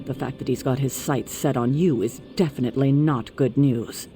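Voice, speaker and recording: An actor playing a composed, authoritative leader of a superhero team, speaking in a measured, formal, articulate way in a dramatic video game. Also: male voice, mid-range voice, background noise, natural voice. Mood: grave, informative. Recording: music behind the voice.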